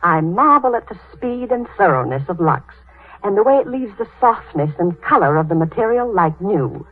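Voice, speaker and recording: A woman's voice: mid-range voice, sing-song, moderate pace, husky. A woman giving a scripted testimonial in a 1930s radio advertisement. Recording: muffled, phone-like 1938 radio broadcast.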